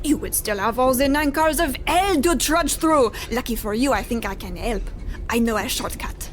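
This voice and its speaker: A woman's voice: high-pitched, lively intonation, moderate pace. A woman playing a coquettish femme fatale opera singer, in an old-time radio comedy style. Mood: eager, conspiratorial. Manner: coquettish, theatrical.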